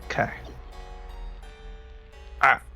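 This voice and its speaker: A man's voice: slow, sharp tone, faint music behind. A man improvising narration as a tabletop game master, talking conversationally and directly to his players.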